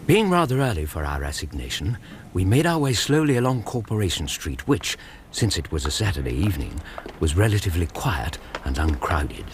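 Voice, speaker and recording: English gentleman narrator, low voice, lively, measured, radio drama, noise behind him. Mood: descriptive storytelling. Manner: measured, courteous, matter-of-fact.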